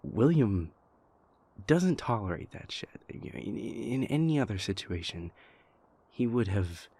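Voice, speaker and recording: A man playing a stressed young vampire prince confiding in his lover in an intimate, halting audio roleplay monologue, with a husky, gravelly voice. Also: lowish voice, very animated, dark tone, exaggerated voice. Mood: tense, frustrated. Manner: halting, hesitant, exasperated, conversational.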